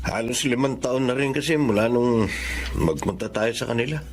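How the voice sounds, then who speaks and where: A man's voice: mid-range voice, normal speed, a little hiss or hum, natural voice. A man acting a caring, considerate grown younger brother in a Filipino drama, speaking solicitously. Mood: thoughtful.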